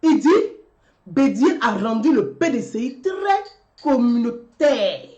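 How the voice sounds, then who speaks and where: A woman speaks in an animated commentary monologue, firing off and repeating rhetorical questions. Her voice sits somewhat high and swings widely in pitch. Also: takes it slowly, highs cut off. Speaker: commentary vlogger.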